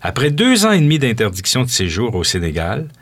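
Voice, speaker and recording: A man hosting a radio music show, explaining the background of songs in a measured, informative way with occasional hesitations. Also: mid-range voice, wildly swooping pitch. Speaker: radio host.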